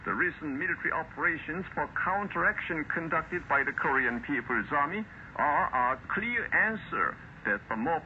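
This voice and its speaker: Shortwave radio, dull noisy signal, male announcer, fairly high voice, formal bulletin reading, solemn. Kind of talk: formal scripted state news bulletin reading.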